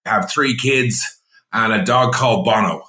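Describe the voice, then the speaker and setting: An Irish man talks business on a podcast in a bright, forceful voice, full of energy and peppered with "you know." His voice rings with the echo of a roomy space. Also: lowish voice, normal speed. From Ireland. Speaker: guest, payments executive. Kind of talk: podcast interview.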